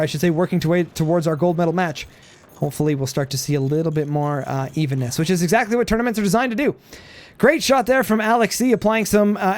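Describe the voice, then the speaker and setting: A man giving rambling play-by-play commentary on a strategy game, hoarse and losing his voice, full of fillers and restarts. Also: high voice.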